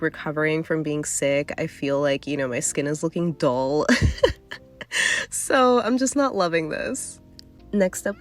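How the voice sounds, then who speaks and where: A young woman with a nasally congested voice, casually reviewing nail polish in a chatty, candid way. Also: on the low side. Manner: chatty, candid, hedging with fillers. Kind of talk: casual product review vlog.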